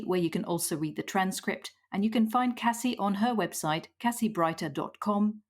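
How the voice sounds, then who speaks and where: A woman podcast host with a somewhat low voice for a woman. She answers her guest softly and warmly, then shifts into a neat, clearly read outro. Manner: soft-spoken, affirming listener, then clear scripted sign-off. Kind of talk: interview and scripted outro.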